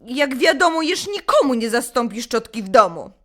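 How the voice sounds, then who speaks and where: A woman voicing an excitable, tearful orphan hedgehog boy in a melodramatic children's storybook reading. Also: on the high side, normal speed, pitched above the performer's own voice. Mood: panicked, indignant. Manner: melodramatic, exclamatory.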